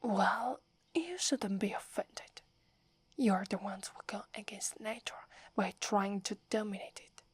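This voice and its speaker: A woman with an Italian accent voicing a proud, wary young werewolf girl in a soft, breathy whispered roleplay, haughty but stammering when flustered. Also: mid-range voice, measured pace, no room sound. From Italy.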